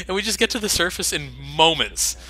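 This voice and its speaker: A man's voice: high voice, moderate pace, sharp tone, low-quality recording. A man giving casual, sarcastic commentary over a video game, joking about its flaws. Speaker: let's play commentator.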